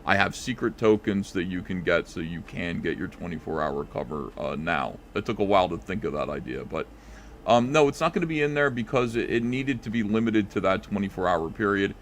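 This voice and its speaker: A relaxed man with a fairly low voice answers callers on a live stream, talking things through with plenty of ums and uhs. A faint hiss sits under his voice. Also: brisk. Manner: relaxed, thinks aloud with many fillers. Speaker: comic creator answering callers. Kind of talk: casual live call-in chat.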